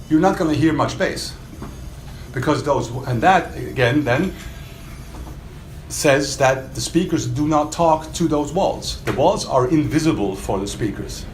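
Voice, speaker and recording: A man giving a spontaneous, emphatic product demonstration to a small audience, quizzing his listeners with rhetorical questions. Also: medium pitch. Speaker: product demonstrator for a loudspeaker maker. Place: indoor hotel demo room. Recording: roomy trade show demo, faint background noise.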